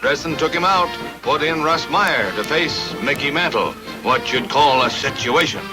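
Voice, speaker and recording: A man's voice: a little high, animated, normal speed. An American man narrating a 1950s sports newsreel from a script in a punchy, dramatic, folksy showman's manner. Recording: vintage newsreel soundtrack with music. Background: loud background music.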